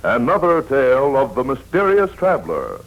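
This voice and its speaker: A man's voice: mid-range voice, huge pitch swings, normal speed, muffled recording. A man announcing a 1940s radio show in a formal, theatrical style.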